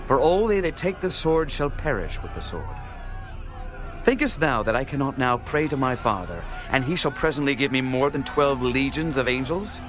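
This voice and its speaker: A man's voice: medium pitch, expressive, normal speed, close to an everyday voice. Guy acting as a solemn resolute holy teacher, formal bible drama voice, music behind it. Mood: firm rebuke. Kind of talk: dramatized scripture reading. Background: background music.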